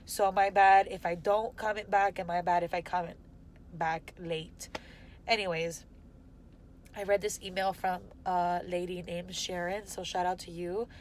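A woman's voice: fairly low pitch, little pitch movement, unhurried, a little hiss or hum. A woman in her twenties with a stuffy, nasal voice, rambling candidly in an unscripted vlog from inside a car, filmed on a phone held at arm's length. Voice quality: congested, nasal. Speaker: vlogger. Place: parked car, indoors. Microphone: phone camera at arm's length.

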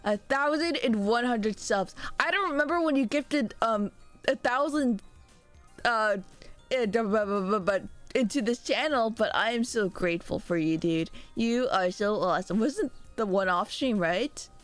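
A young adult woman streaming live, chatting excitedly with viewers and thanking them by name, speaking through a sore throat. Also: a little high, unhurried. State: sore throat, a little sick. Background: faint background music.

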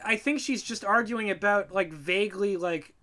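A man speaks with a bright, sharp-edged voice in casual co-host commentary. He sounds emphatic and exasperated, with a sarcastic way of making his points. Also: high voice, normal speed. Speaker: co-host and writer giving commentary.

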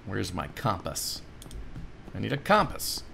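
A man's voice: on the low side, huge pitch swings, a little slow, gravelly, bright, forceful tone, a little hiss or hum. A man in his thirties giving casual, muttered, profane video game commentary. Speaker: video game streamer voicing over a game. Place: small home room. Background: game music.

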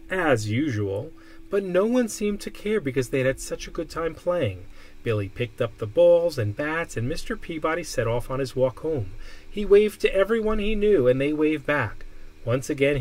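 A man reading a children's picture book aloud expressively, with deliberate, emphatic phrasing. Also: on the high side, rough recording. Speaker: storybook reader. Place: indoor room.

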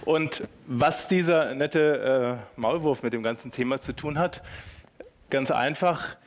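A man in his fifties speaks at a medium pitch. He presents at a conference in an informal, playful way, often turning to his listeners with questions. He is picked up on a headset mic. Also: a little slow, a little hiss or hum. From Germany (Hanover). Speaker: keynote speaker, professor. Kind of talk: lively conference talk to an audience. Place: large hotel conference room.

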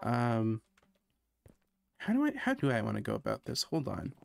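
A man's voice: mid-range voice, huge pitch swings, moderate pace, vocal fry, darkish tone. A man from Canada chatting casually while streaming a building game, thinking aloud and poking fun at himself. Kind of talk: casual gameplay commentary.

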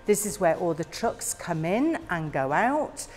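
A woman guiding a warehouse tour, explaining each area in a slow, plain way. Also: on the low side, sing-song, darkish tone. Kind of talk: guided tour narration.

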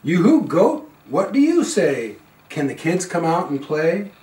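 An older man, around seventy, reading a children's picture book aloud in an expressive, singsong and playful way. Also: on the high side. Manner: slow, singsong, playful calls with quieter asides. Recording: roomy, a little far from the mic.

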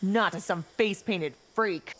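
A woman's voice: medium pitch, a little slow, heavy background noise, natural voice. An actress playing a hardened, no-nonsense female military veteran in a video game, defiant and scornful. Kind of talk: video game character dialogue.

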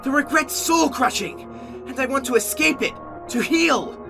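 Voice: sits high, animated, gravelly, music in the background. A performer voicing a young male goat monster, earnest and haunted by guilt, in an amateur comic dub. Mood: angry, anguished. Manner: earnest, emotionally volatile, halting when ashamed.

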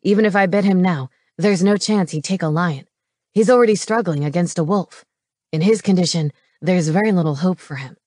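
A woman voicing a gruff male shapeshifter pack leader in an audiobook, grim and blunt. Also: on the low side, brisk, darkish tone, dry recording, studio quality, lightly acted.

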